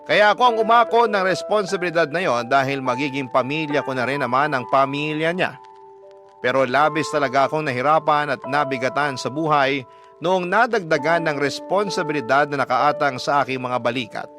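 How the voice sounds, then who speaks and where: A man's voice: a little high, brisk. A man narrating a confessional letter addressed to the host. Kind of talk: scripted narration. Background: music.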